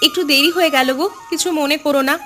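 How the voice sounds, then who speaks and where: A woman acting as a young cartoon village sweetheart with a high-pitched voice. She is coquettish and easily stung, with a pouty, reproachful way of talking. Light music plays behind her. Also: fast, pushed and bright. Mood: pouty, jealous. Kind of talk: Bengali cartoon dialogue.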